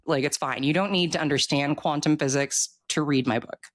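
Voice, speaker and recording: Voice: mid-range voice, a little fast. An author being interviewed, talking casually and informally with emphatic, opinionated delivery.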